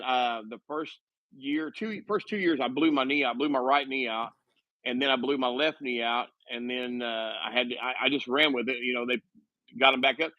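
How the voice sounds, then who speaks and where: A man's voice: medium pitch, sharp tone, dry recording, muffled recording. A man in his fifties, a guest making casual conversation, who often restarts his sentences and jokes at his own expense. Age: 50s. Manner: halting, frequent restarts; self-mocking humor.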